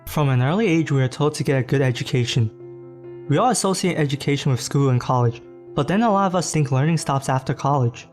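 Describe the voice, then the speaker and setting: A man reading a scripted narration in a smooth, even delivery. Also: on the high side, quick pace, soft background music.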